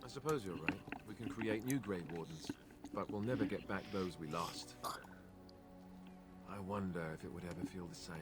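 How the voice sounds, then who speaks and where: A man's voice: low voice, moderate pace, music in the background, natural voice. A man playing an affable, wistful young warrior companion in a video game, conversational and self-effacing. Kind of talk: video game character acting.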